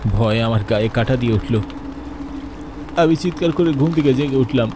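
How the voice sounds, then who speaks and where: A man playing an earnest young peddler who narrates a ghostly cartoon horror story in an expressive, dramatic way. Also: mid-range voice, normal speed, background noise, close to an everyday voice. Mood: fearful. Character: earnest young male cloth peddler narrating his ghostly ferry journey. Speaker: first-person narrator. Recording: music behind the voice.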